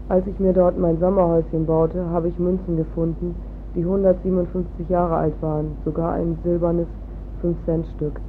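Female reader for a book passage, very flat intonation, narrow-band audio with faint rumbling noise. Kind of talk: read-aloud book excerpt. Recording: tinny phone-like sound, faint rumble.

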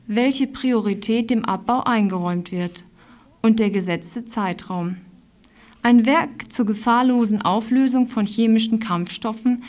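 A woman's voice: fairly high pitch, darkish tone. A woman reading a scripted news report aloud as a radio news reader, formal, with an occasional slip.

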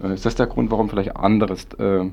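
Gravelly, even-toned male interviewer. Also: fairly low pitch, quick pace. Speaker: radio interviewer. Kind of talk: casual spoken interview. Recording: slightly dull, lo-fi sound.

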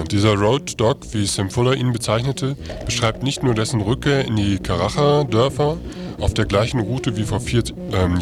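A man presenting on radio, reading news and event listings in an informal, halting way. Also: lowish voice. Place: radio studio. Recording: music playing under the voice. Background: loud music.

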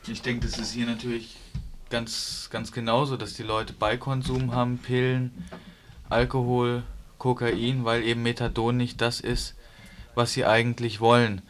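A man taking part in a panel discussion, setting out arguments in a measured way. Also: mid-range voice, monotone, slight background noise. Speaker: discussion participant.

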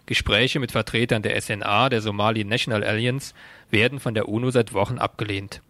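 A man's voice: fairly low pitch, fairly even intonation, brisk, pushed and bright, no room sound. A man presenting a radio news magazine, reading prepared text and informal announcements.

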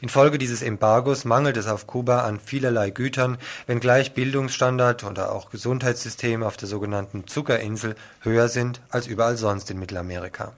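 A man's voice: mid-range voice, fairly even intonation, a little fast, hardly any pauses. A man presenting scripted news on the radio, reading out headlines and reports as the host.

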